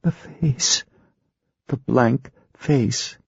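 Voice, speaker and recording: A man voicing a terrified young woman under hypnosis, speaking in high, breathy, trembling whispers. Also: extremely slow, mellow, dry recording, highs cut off, heavily acted.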